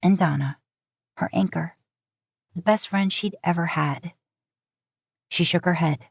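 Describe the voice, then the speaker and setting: A woman's voice: lowish voice, unhurried, gravelly, husky, no room sound, clean studio sound. A woman reading a story as a scripted narration. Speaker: podfic narrator. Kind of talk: scripted narration of fan fiction.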